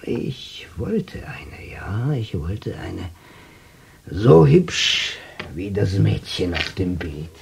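An actor playing a whimsical old shopkeeper in a radio drama, speaking halting, murmured in a husky, creaky voice. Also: male voice, sits low, very animated, a little hiss or hum, full character voice. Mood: wistful, murmuring. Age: older. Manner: halting, murmured phrases with repeated 'ja'. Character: whimsical old junk-shop keeper, sly and wistful.

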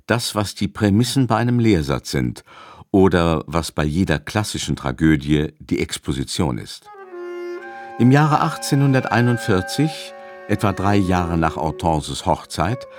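A man's voice: deep voice, darkish tone, no room sound. A man narrating a literary story in a scripted radio drama. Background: music under the voice.

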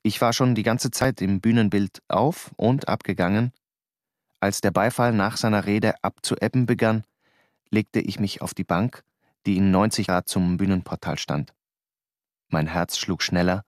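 Male actor as Austrian theatre player, lowish pitch, dry sound, restless, probing, weary, radio drama narration and dialogue. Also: steady tone, unhurried, no room sound, lightly acted. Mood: tense narration. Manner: insistent, probing. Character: restless, insistent young Austrian stage actor, worn out and searching.

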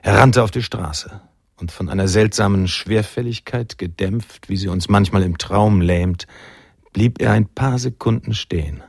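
An actor playing a brooding film-noir narrator in a radio drama, reading scripted narration in a measured, grave manner. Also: male voice, lowish voice, creaky, slightly rough, no room sound, studio quality, heavily acted. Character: brooding male film-noir narrator recalling his friend's escape.

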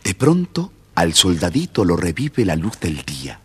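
A man's voice: low voice, wildly swooping pitch, measured pace, fairly bright tone, breathy edge. A man with an Argentine accent narrating a scripted children's tale, speaking directly to young listeners. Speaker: storyteller, narrator.

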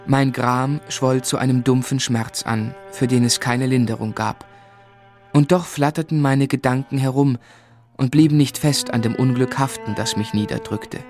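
An actor plays a man recalling his childhood as narrator of a radio play. His voice is mid-pitched, reading literary first-person prose over faint music. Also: fairly even intonation, normal speed, a little mellow, close to an everyday voice. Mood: sombre, reflective. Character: adult man recounting his sorrowful childhood memories.

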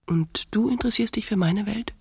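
A woman playing a cool, skeptical middle-aged wife in a radio drama, with a low husky voice and terse, dry delivery. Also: a little fast, dry recording. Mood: pensive. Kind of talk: intimate modern radio drama dialogue.

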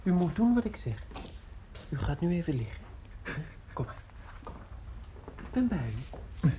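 An actor in a radio drama playing a caring but sometimes gruff man who speaks in short, plain, repeated phrases. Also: on the high side, sing-song, normal speed, dark tone, highs cut off, a little hiss or hum. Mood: soothing. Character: caring man, gruff when hurried, soothing a drunk old man.

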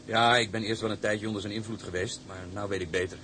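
An actor playing an eager, deferential young ship's engineer in a Dutch radio drama, hesitant and apologetic. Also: male voice, fairly low pitch, steady tone, quick pace, pushed and bright, a little hiss or hum. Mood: earnest, rueful.

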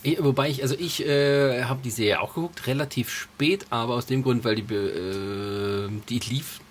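A man with a mid-pitched voice talks casually as a podcast co-host. He reminisces loosely, restarting and correcting himself along the way. Some background noise sits behind his voice. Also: moderate pace. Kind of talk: casual nostalgic podcast chat.

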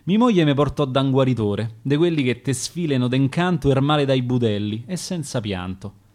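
A man's voice: medium pitch, animated, moderate pace, darkish tone. A man with a Roman accent reciting his own dialect sonnets for radio in a rhythmic, dramatic way. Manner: rhythmic, dramatic verse delivery.

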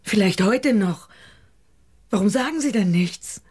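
An actress playing a concerned, anxious office secretary in a German radio drama, with a husky, urgent delivery. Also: female voice, mid-range voice, lively intonation, measured pace, darkish tone.

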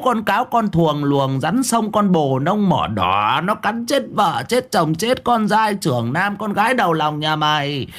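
A man's voice: sits high, a bit bright, pitched above the performer's own voice. A shrieking older village wife spits out curse after curse. Her voice sits far above his own and swings wildly up and down, with a rhythmic, chanting flow to the abuse. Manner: long rhythmic cursing tirade, shrieking. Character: shrill older village wife cursing a chicken thief.

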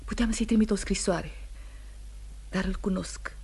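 An actress playing a wounded, anxious young wife in a radio play, breathy and often hushed, earnest and halting. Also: female voice, medium pitch. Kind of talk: Romanian radio theatre acting.

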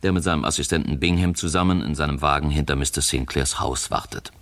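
A man's voice: rumbling low, fast, fairly bright tone. A man reading scripted narration as the narrator of a radio crime serial.

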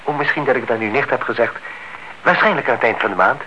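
A dull old radio recording with faint hiss carries an actor playing a courteous, reserved husband. His voice is bright and lively in pitch, yet his manner stays modest, hesitant and slightly guarded. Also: fast talker, close to an everyday voice. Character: reserved, courteous married man, evasive about absent wife. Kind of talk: radio drama acting in Dutch.

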